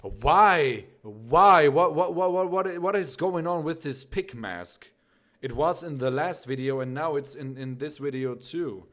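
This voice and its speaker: A man's voice: fairly high pitch, animated, unhurried, a bit bright. A young man with a German accent, speaking English as a second language, hosting a casual music reaction video. He rambles with many fillers and keeps asking "right?".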